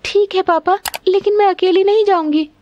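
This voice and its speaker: A woman's voice: very high-pitched, steady tone, normal speed, a little mellow, no room sound, highs cut off, voice pushed up. A woman voicing a timid, pleading young snake-girl daughter in a cartoon story. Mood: anxious. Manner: plaintive, pleading.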